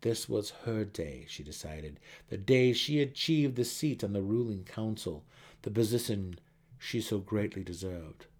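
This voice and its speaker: A man's voice: lowish voice, lively intonation, measured pace, darkish tone. A man narrating a fantasy audiobook dramatically, with theatrical emphasis.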